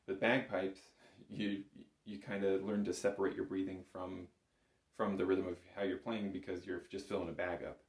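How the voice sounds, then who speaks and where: Man, fairly deep voice, instructor, casual tutorial delivery, reassuring, strong room echo. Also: level tone, moderate pace. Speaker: bagpipe instructor. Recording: echoey room.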